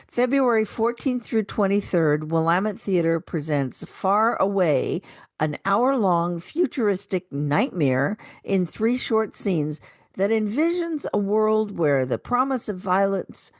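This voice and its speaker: Slow, measured female radio announcer. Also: medium pitch, dry recording, clean studio sound. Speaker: radio co-host. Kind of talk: scripted calendar announcing.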